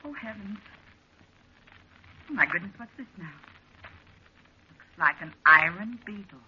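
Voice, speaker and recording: A woman's voice: medium pitch, animated, a little slow, natural voice. An American actress in a vintage radio drama playing a naive, dithering young woman who speaks in fretful exclamations. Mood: fretful, searching. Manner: exclamatory, fretful, wide-eyed. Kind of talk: 1940s radio drama acting. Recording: muffled, noisy old radio recording.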